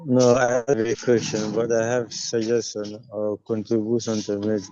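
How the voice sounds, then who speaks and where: A man who stutters, speaking haltingly on a video call during a webinar. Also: lowish voice, little pitch movement, mellow, slight background noise. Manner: stutters, halting with many pauses. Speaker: webinar participant. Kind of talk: spontaneous comment. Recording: dry muffled video call audio.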